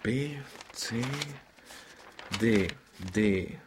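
An actor playing a grumbling, excitable middle-aged father in a German radio drama, often muttering to himself. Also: lowish voice, drawn-out words, background noise. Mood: absorbed.

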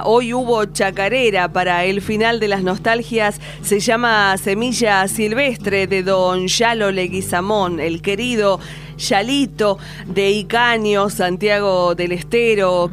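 A woman with an Argentine accent hosting a radio show with reflective commentary on folk music. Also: mid-range voice. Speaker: radio host. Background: faint music.

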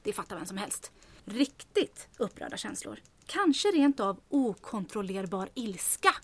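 A woman playing a sharp young assistant in an audio drama, reasoning things out in a deliberate, emphatic way. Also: mid-range voice, sing-song, husky. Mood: knowing, serious.

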